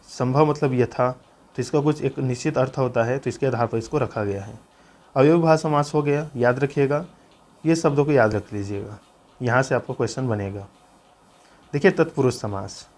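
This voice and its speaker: A male teacher speaks. He talks at a middling pitch and explains study notes aloud for exam candidates. His delivery is instructive, built on definitions and reminders to commit them to memory. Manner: repeatedly urges listeners to remember, instructive.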